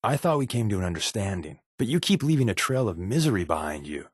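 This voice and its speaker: A man's voice: fairly low pitch, big pitch swings, fast talker, slightly rough, dry recording. A man playing a wry, sarcastic spy for a secret resistance in a video game, glib and joking with dry asides. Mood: reproachful. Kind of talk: video game character dialogue.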